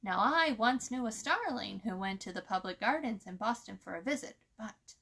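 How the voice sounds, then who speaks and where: Woman voicing a sly, bright-voiced gossipy country crow. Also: on the high side, expressive, normal speed, creaky, husky, exaggerated voice. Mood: teasing, kindly. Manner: folksy, teasing, smirking persuasion.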